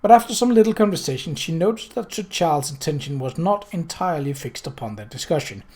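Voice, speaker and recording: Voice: medium pitch, expressive, fast talker, darkish tone. A narrator reading a novel aloud as scripted storytelling. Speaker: audiobook narrator.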